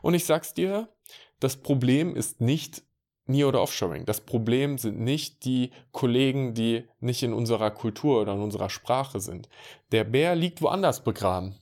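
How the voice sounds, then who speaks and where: A man's voice: mid-range voice, animated, moderate pace, a little mellow. Man talking straight to the listener. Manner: direct, conversational, addresses listener informally. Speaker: IT consultant. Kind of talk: casual monologue to the listener.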